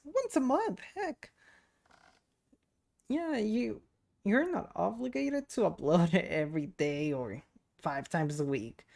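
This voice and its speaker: A woman's voice: fairly high pitch, gravelly, dark tone. A woman livestreaming a game, talking to her audience in an informal, giggly, chatty way. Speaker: streamer. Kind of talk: casual livestream chat while gaming.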